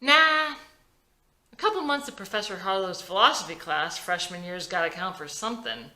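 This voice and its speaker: A woman's voice: medium pitch, animated, moderate pace, pushed and bright, echoey room. A woman reading the part of a fond, teasing young male musician in a drama script. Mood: reassuring.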